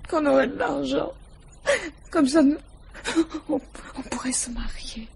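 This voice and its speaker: An actress playing a distraught, tearful mother in a radio crime drama, pleading in a halting, stammering way to protect her lover. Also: sits high, vocal fry, darkish tone, slight background noise, heavily acted.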